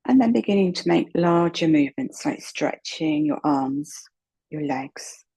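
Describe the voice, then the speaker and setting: A woman leading a slow guided meditation in a soft, breathy voice, calm and unhurried, with long pauses. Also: sits low. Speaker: guided relaxation meditation instructor.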